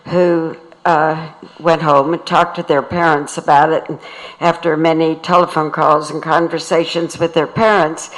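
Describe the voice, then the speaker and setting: A woman's voice: low voice, poor audio. An older woman, a peace activist, telling a plain, matter-of-fact reminiscence in a speech at a tribute. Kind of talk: plain spoken reminiscence to an audience.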